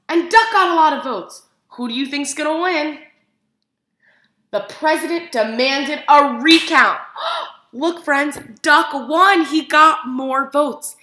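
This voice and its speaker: A woman teacher reads a picture book aloud to preschoolers in an animated, expressive way, using simple phrases and putting questions to her young listeners. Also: high-pitched. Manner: animated, expressive, simple child-directed phrasing with gasps for effect. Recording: echoey room sound.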